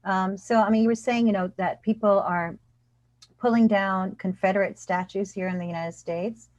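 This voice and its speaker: A woman's voice: medium pitch, steady tone, moderate pace, darkish tone, dry recording. A woman professor, a guest on an interview over a video call, explaining her views earnestly and often saying "you know". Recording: dry, dull video-call audio.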